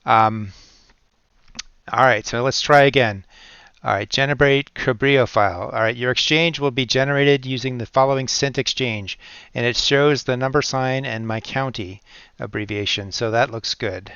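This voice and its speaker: An American man giving an informal step-by-step software tutorial, thinking aloud as he works through each step. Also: medium pitch, normal speed, clear, resonant tone, dry recording, lo-fi sound. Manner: thinks aloud while working through steps. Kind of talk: step-by-step screen-recording tutorial narration, informal.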